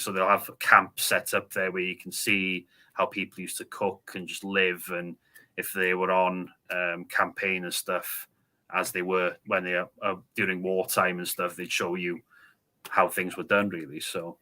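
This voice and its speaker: A man with a North Welsh accent and Welsh as his first language speaks low and steady, his pitch held to a narrow band. His tone is bright and forward despite the depth. He explains things patiently, piling up small fillers as he goes. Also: normal speed. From Wales. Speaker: podcast guest. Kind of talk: casual podcast conversation.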